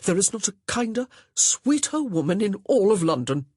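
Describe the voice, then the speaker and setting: A narrator reading a pompous, easily flustered young gentleman who scolds sternly but wavers. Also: high voice, very animated, moderate pace, husky, no room sound, studio quality, voice pushed up, full character voice. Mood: earnest. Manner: stern, blustering, uncertain.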